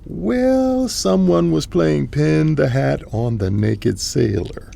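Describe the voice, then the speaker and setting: A man playing a suave, smitten cruise ship captain with a gravelly voice, languid and flirtatious, in an audio drama. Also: a little high, animated, takes it slowly, dark tone, exaggerated voice. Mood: flirty, tender. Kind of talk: audio drama comedy acting.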